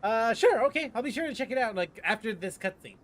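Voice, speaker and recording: A man's voice: very high-pitched, animated, quick pace. A man giving casual, slangy live commentary as he streams a game, reacting as he goes. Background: game music.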